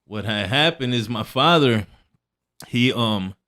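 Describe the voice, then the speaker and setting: A young man from Puerto Rico, a pro wrestler guest, chatting casually in relaxed street slang and often trailing off. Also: medium pitch, slow pace. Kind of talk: casual podcast chat.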